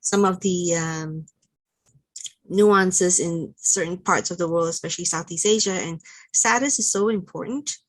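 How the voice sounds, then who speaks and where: A woman from Malaysia, a workshop participant, asking a thoughtful question and pausing with "um" as she searches for words. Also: fairly low pitch.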